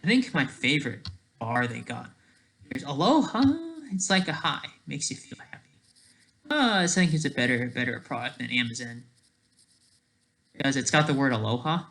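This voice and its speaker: A person giving rambling off-the-cuff commentary in a halting, sarcastic way, restarting mid-thought and often checking with a questioning tag. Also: medium pitch, lively intonation, fairly bright tone.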